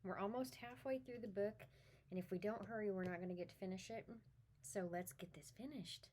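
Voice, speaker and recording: A woman's voice: medium pitch, a little fast, a little mellow, slight background noise. A woman reading a children's story aloud, talking to her listeners in a friendly, informal way. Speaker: read-aloud reader for children. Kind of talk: children's book read-aloud.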